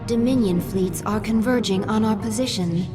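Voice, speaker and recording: An actress playing a dutiful alien adviser in a video game, giving formal, deferential battle reports. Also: female voice, mid-range voice, normal speed, music in the background, lightly acted. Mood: formal, alert. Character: dutiful female alien adviser to swarm queen.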